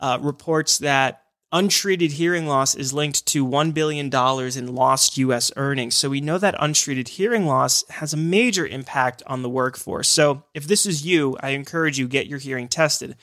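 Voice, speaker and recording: A man's voice: medium pitch, normal speed, a bit bright, no echo. A man who works in hearing care, explaining signs of hearing loss in an earnest, encouraging podcast talk.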